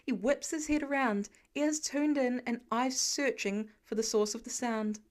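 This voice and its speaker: A woman reading scripted fiction as an expressive audiobook-style narrator. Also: a little high, measured pace. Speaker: podfic narrator.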